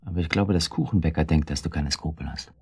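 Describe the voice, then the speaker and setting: An actor playing a guilt-worn, brooding husband in a German radio drama, speaking in a halting, bitterly ironic way with a husky, gravelly voice. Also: male voice, a bass voice, exaggerated voice. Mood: wary.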